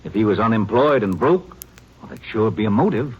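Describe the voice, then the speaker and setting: An actor playing a chatty, easygoing small-town county sheriff, in a 1950s radio drama. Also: male voice, lowish voice, animated, a little fast, husky. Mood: folksy. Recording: dull old radio broadcast recording.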